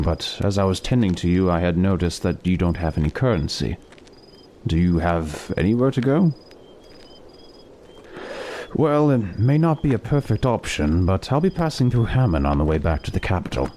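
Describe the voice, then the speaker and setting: A man's voice: sits low, darkish tone, slight background noise. A man playing a kindly, self-conscious travelling doctor in a fantasy audio drama, courteous and hesitant with formal wording.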